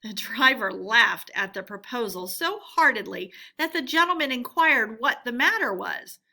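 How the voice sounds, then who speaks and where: A woman storyteller reading a storybook aloud in an expressive narrating style. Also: on the high side, moderate pace.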